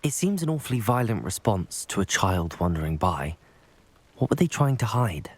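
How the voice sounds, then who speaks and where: A thoughtful student wizard in a game cutscene. The voice is fairly low with varied intonation, courteous and reserved. Faint music plays behind it. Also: male voice, sing-song, moderate pace, breathy edge. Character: composed, thoughtful teenage student wizard, cautious confidant. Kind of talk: video game cutscene acting.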